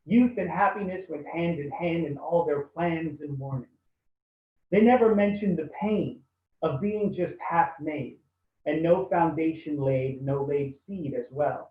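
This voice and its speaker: A man's voice: a little high, recorded from a distance. A man reads poems aloud over a video call. The audio is tinny and phone-like. He sits some way from the mic in an echoing room. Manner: quick spoken asides, then measured reading. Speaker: poet reading. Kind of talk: poetry reading on a video call. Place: home, kitchen or similar room.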